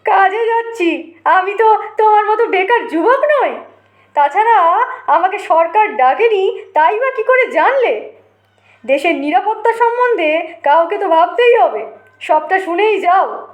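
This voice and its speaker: A woman's voice: very high-pitched, animated, a little slow, echoey room, voice pushed up. A woman voicing a boastful, touchy uncle who tells tall war tales in a blustering storyteller's way. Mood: indignant. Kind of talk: acted audiobook storytelling.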